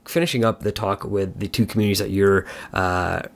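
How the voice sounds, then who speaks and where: A man with a fairly low voice talks as a podcast co-host. His delivery is casual and earnest, rambling a little as he searches for the next phrase. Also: steady tone, fairly quick, gravelly. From Canada.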